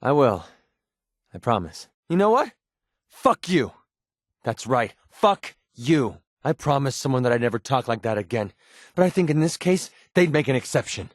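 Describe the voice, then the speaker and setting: Game companion: a guarded young American gun-for-hire, wry and conversational, medium pitch, dry studio sound. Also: male voice, big pitch swings, normal speed, no room sound. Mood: sincere, subdued, then angry, hurt. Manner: sardonic, conversational, hesitant asides. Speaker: video game companion character. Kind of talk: video game voice acting.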